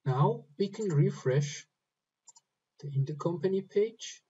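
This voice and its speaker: Guy doing a software walkthrough, bit raspy, short halting steps, kinda muffled lo-fi audio. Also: high-pitched, lively intonation. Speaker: software tutorial presenter. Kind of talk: step-by-step screen-recording narration. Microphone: voice-over recorded over screen capture.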